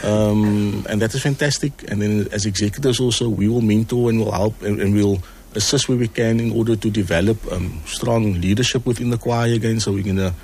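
A man, speaking earnestly in an interview as a choir executive, with many pauses and repeated words. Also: lowish voice, steady tone, a little mellow, slight background noise. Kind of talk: casual interview chat.

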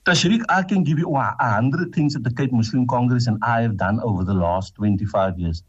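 South African male councillor, husky, pleading and emphatic, muffled lo-fi audio. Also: medium pitch, a little fast. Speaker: city councillor and party leader. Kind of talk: radio interview, political appeal.

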